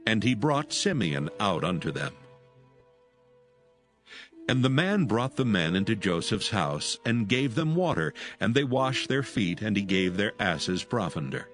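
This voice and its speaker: A man's voice: fairly low pitch, very animated, a little slow, a bit bright, faint music behind. A man narrating solemn scripture as part of a dramatised audio Bible.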